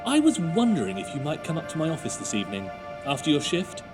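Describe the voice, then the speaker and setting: A man's voice: on the high side, wildly swooping pitch, quick pace, over loud music. Man playing a sinister, lilting, courteous boss. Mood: ominously polite. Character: sinister, falsely courteous male office boss. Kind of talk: audio drama acting.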